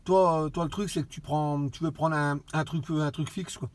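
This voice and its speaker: A man chatting casually as an amateur radio operator, halting and often repeating his phrases. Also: a little high, fairly even intonation, dry recording. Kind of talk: casual radio contact chat.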